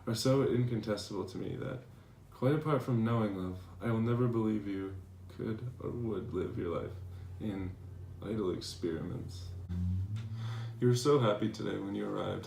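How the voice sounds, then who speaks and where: A man playing a devoted philosopher reading his tender love letters aloud in a measured, earnest dramatization. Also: on the low side, gravelly, darkish tone. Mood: tender, adoring. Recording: echoey room, distant mic, faint noise.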